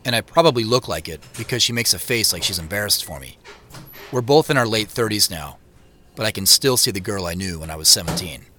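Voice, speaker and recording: A man's voice: fairly low pitch, animated, fairly quick, pushed and bright, a little hiss or hum. An actor playing a wistful, self-conscious man in his late thirties, narrating an audio drama in the first person with wry introspection.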